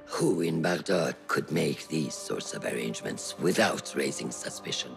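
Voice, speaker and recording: A very low-voiced, grave mentor from a video game. His gravelly delivery is measured and formal, yet it moves widely in pitch as he instructs with patient authority. Music plays clearly behind him. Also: lively intonation, heavily acted. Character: grave older male mentor of assassins, patient and commanding. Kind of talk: video game cutscene acting.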